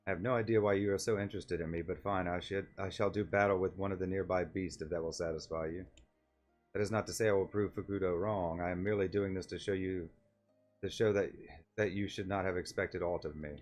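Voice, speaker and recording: Man reading as a low-voiced, meek wolf-man villager. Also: steady tone, quick pace, soft background music, close to an everyday voice. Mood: reluctant, defensive. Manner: self-deprecating, stiffly formal wording. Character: meek, self-doubting young male wolf-like villager, formal and resigned.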